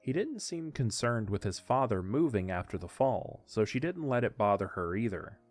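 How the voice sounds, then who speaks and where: A man's voice: on the low side, very animated, moderate pace, a little mellow, soft background music. A man narrating a scripted audio drama as its storyteller.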